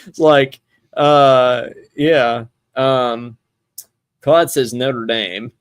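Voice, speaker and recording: A man's voice: medium pitch, steady tone, extremely slow, clean tone, dry recording. A man hosting a podcast, chatting casually about sports and thinking aloud in a rambling way. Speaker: podcast host.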